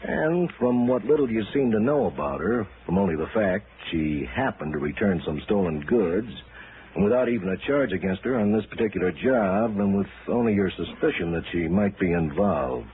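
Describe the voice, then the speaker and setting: A man's voice: sits low, animated, normal speed. An actor playing a skeptical, long-suffering policeman in a 1960s radio noir drama, sardonic and colloquial. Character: skeptical, grumbling New York police officer, long-suffering pal. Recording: noisy, tinny old radio broadcast.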